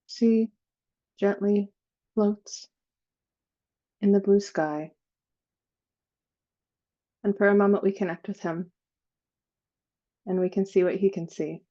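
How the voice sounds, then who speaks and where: A woman leading a guided meditation in a slow, soothing manner, with long pauses between phrases. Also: medium pitch, steady tone, mellow, highs cut off.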